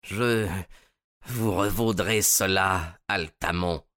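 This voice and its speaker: Un homme qui joue, dans une histoire lue, un espion allemand fier, amer et indigné, au débit lent et résolu. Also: male voice, lowish voice, expressive, fairly bright tone, pitched above the performer's own voice. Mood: vengeful. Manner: slow, resolute, aggrieved. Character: bitter, defeated, indignant German spy, proud man.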